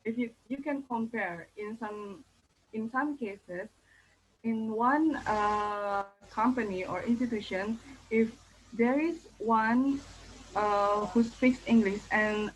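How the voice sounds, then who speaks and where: Slow, hesitant Indonesian-accented young woman. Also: a little high, a little hiss or hum, poor audio. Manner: careful, hesitant, many fillers and restarts. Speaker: thesis candidate. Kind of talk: thesis defense presentation.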